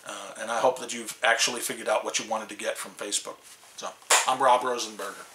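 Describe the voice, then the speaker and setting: A man's voice: lowish voice, steady tone, quick pace, bright, forceful tone, echoey room, slight background noise, poor audio. A man in his fifties with a Chicago accent, vlogging to camera in a small room, rambling with many restarts and fillers. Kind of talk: casual talk to camera.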